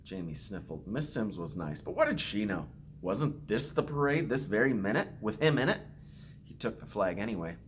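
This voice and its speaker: A man with a fairly low voice reads a children's picture book aloud in an expressive storyteller's way. He speaks simply, as a principal would to pupils. There is some noise in the home-video recording. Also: moderate pace. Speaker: school principal reading to pupils.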